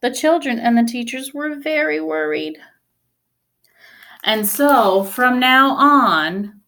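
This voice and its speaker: Expressive woman reading a storybook, highish voice. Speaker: teacher reading aloud. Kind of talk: children's storybook read-aloud. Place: small indoor room.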